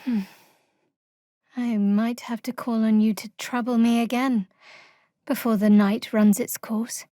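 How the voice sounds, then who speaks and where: An actress playing a guarded, sardonic young woman cleric of a dark goddess in a video game. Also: a little high, flat delivery, dry recording, natural voice. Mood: wry, softened. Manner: dry, sardonic, measured. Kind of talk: video game character dialogue.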